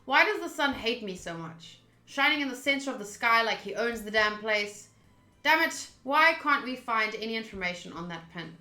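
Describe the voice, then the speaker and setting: A chatty, expressive woman, a native Afrikaans speaker, streaming a game with casual talk and reading aloud. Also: a little high, normal speed. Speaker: streamer playing a visual novel. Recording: roomy sound with music underneath. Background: game music.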